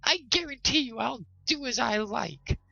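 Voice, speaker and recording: Shrill, nagging storybook wife. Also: male voice, expressive, measured pace, vocal fry, pushed and bright, husky, no echo, muffled recording, heavily acted. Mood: indignant, defiant. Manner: shrill, scolding, harping. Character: nagging, ill-tempered, contemptuous trapper's wife. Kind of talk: storybook character voice. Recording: music behind the voice.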